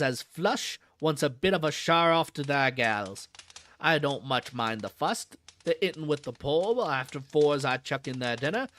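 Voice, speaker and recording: A man reading aloud and voicing a gruff old male zookeeper, grumbling in a rough dialect with dropped h's. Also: on the high side, a bit bright.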